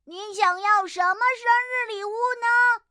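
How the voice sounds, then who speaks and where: A woman's voice: extremely high pitch, measured pace, sharp tone, dry recording, clean studio sound, pitched above the performer's own voice, full character voice. A woman voicing an eager, sweet-natured little storybook bear cub with a small childlike voice, exclaiming earnestly. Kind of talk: storybook character voice.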